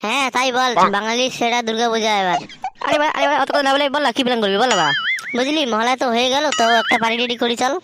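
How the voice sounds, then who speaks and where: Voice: mid-range voice, rapid-fire, heavy background noise, lightly acted. A performer with a Bengali accent voicing foul-mouthed, teasing young friends in slangy comic cartoon banter.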